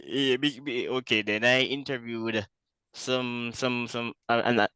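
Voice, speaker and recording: A male interviewer asking direct questions and reading passages aloud. His voice is smooth and resonant, recorded close and dry with no room sound. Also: medium pitch, bright, forceful tone, dry recording, rough recording. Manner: reads quotes, asks direct questions. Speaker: interviewer host.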